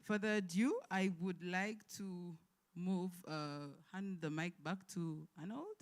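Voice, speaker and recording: A woman's voice: fairly low pitch, slow. Woman giving a hesitant welcome speech. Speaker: event host giving a welcome. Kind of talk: informal public welcome speech.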